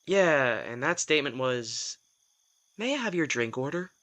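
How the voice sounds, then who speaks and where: A man's voice: mid-range voice, lively intonation, a little slow, a bit bright. A man acting a reluctant vampire in an audio drama, a sardonic, put-upon office worker who tells things in a wry, hesitant, self-deprecating way. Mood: wry, embarrassed.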